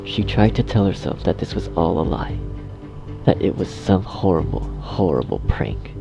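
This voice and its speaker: A man's voice: low voice, expressive, normal speed, creaky, dull audio. A man narrating a scripted horror story dramatically, as its storyteller. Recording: faint music behind the voice.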